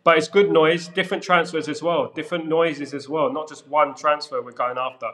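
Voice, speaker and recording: Man, 30s, guest pundit, measured and plain-spoken, video call mic. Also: on the high side, fairly even intonation, a bit bright. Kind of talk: casual football chat. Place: room with brick wall, indoors. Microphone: podcast mic on video call.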